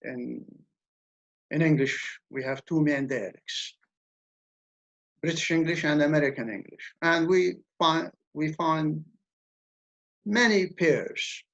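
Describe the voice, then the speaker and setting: A man's voice: a little high, gravelly, a bit bright. Man lecturing, lo-fi. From Levant. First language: Arabic. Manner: measured, explanatory, repeats words when restarting. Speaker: university lecturer. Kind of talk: academic lecture. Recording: dull lo-fi recording.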